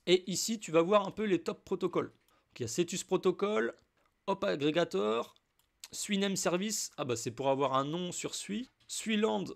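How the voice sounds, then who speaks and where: High-voiced French man with a cold. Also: moderate pace, creaky. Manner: informal, addresses viewer as "tu", chatty asides. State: has a cold. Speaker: crypto YouTuber. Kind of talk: casual screen-share tutorial.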